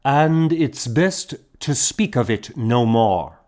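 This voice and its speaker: A bossy woman from a historical audiobook, voiced by a male narrator. The tone is curt and disapproving, pitched above his narration, with a scolding edge. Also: animated, takes it slowly, darkish tone. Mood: stern.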